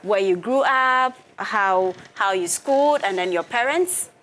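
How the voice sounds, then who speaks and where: A woman's voice: medium pitch, expressive, measured pace, fairly bright tone. A woman hosting a TV interview show, who keeps the talk going with short encouraging backchannels. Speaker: TV show host.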